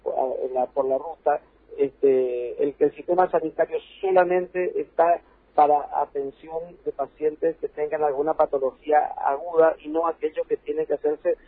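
A man's voice: on the high side, steady tone, moderate pace, breathy edge, no echo. An Argentine man, a provincial health official, explaining rules insistently in a radio interview by phone, repeating points for emphasis. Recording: dry, tinny phone line.

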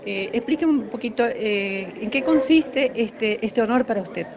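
A woman's voice: fairly high pitch, animated, a little slow. A woman conducts an informal interview, putting questions politely and a little hesitantly. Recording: dull lo-fi, crowd chatter behind.

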